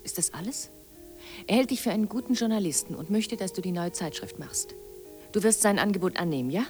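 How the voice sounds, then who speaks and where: A woman's voice: mid-range voice, expressive, fairly quick, a little mellow, soft background music, natural voice. An actress playing a disappointed, resolute wife in a radio drama, speaking her letters in an intimate, reproachful, articulate way. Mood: urging.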